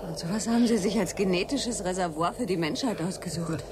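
An actress playing a self-assured, sharp-tongued space pilot in a radio drama, crisp and independent. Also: female voice, lowish voice, fairly quick, background noise, natural voice. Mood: breathless wonder. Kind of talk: German radio drama acting.

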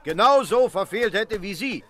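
Blustery colonial colonel, swooping pitch. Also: male voice, animated, moderate pace, fairly bright tone, slight background noise. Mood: excited scheming. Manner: gruff, pompous, exclamatory. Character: blustery, irritable British colonial colonel on expedition. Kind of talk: comic radio play acting.

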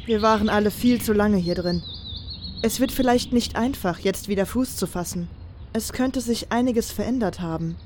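A woman playing a caring, resolute young female companion and lover in an audio drama, speaking in soft, affectionate dialogue. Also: mid-range voice, moderate pace, a little hiss or hum, close to an everyday voice.

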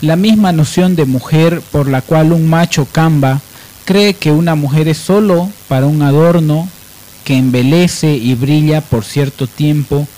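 A man's voice: fairly high pitch, warm tone, low-quality recording. A man hosting radio, delivering commentary in a sardonic, emphatic way. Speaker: radio show host.

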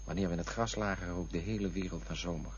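An actor playing a defensive, wistful man in a Dutch radio play, touchy and drifting into lyrical memories, with a husky voice. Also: low-pitched, steady tone, fast, a little hiss or hum, lightly acted. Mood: wistful reminiscing. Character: defensive, wistful man looking back on lost loves. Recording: dull, lo-fi radio play recording.

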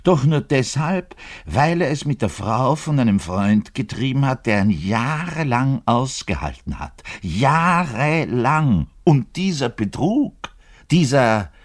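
An actor playing an embittered, self-pitying middle-aged double bassist in a rambling, theatrical radio monologue, pedantic and given to muttering and indignant declaiming, with a husky voice. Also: male voice, very animated, slow, exaggerated voice. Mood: indignant, scornful. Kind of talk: theatrical radio play monologue, confiding to a listener.

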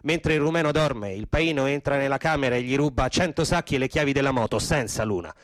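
A man's voice: medium pitch, quick pace, no room sound. A man narrating a radio drama in a theatrical, declamatory, rhythmic scripted style. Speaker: actor-narrator.

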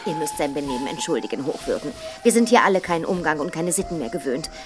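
A woman's voice: sits low, animated, quick pace, over music. An actress playing a poised, strong-willed lady of the manor in a radio drama, polished and firmly chiding. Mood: smooth, chiding. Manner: chiding, socially polished, firm.

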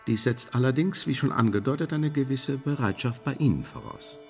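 An actor playing a calculating intelligence official in a radio play, speaking in a formal, measured, officious way with quiet menace. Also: male voice, medium pitch, faint music behind, natural voice. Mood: coolly menacing.

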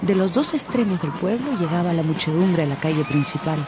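A woman giving expressive, scripted storytelling narration for a radio drama. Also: on the low side, a little fast, loud music behind the voice.

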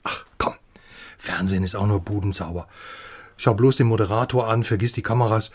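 An actor playing a reassuring old friend in a radio drama, talking informally in a coaxing, familiar way. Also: male voice, lowish voice, fairly quick, breathy edge.

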